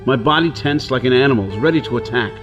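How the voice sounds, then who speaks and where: A man's voice: mid-range voice, fast, music in the background, close to an everyday voice. An actor playing a rugged, wary Earth-born outlaw on Mars in an audio drama, intense and theatrical as he talks himself through things. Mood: tense narrating. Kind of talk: audio drama acting with first-person narration.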